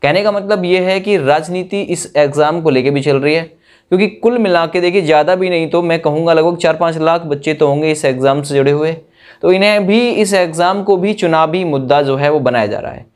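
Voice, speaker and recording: A man's voice: on the high side, a little fast. A young man giving an informal exam news update to camera in an emphatic, colloquial way full of rhetorical questions. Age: young adult. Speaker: exam coaching YouTuber.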